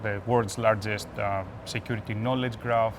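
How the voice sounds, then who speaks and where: A man with a Greek accent giving conversational interview answers as an expert guest, pausing between phrases. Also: fairly low pitch, steady tone. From Greece. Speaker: guest, application security expert. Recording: noisy urban ambience behind voice.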